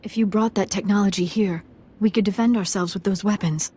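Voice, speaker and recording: An actress playing an earnest, resolute young village daughter in a video game, speaking politely. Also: female voice, medium pitch, steady tone, fairly quick, dark tone, slightly rough, lightly acted. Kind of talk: video game dialogue acting.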